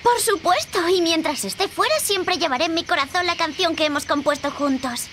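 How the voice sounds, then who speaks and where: A woman's voice: very high voice, fairly quick, fairly bright tone, slight background noise. A woman playing a brave, kind young girl adventurer in a video game, earnest and sincere. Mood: fond. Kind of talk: video game character acting.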